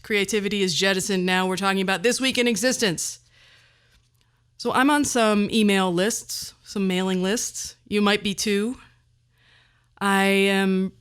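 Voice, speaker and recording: Middle aged lady podcast host, clear resonant voice, medium pitch, chatty and a bit wry. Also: fairly bright tone. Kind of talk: conversational podcast monologue.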